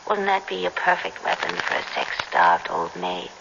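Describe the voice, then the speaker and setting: An actress playing a brisk, suspicious female police detective in a radio drama, probing and self-assured. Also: fairly low pitch, very animated, gravelly. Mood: brisk, triumphant. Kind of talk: 1970s radio drama acting. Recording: dull, noisy old radio broadcast, reverberant.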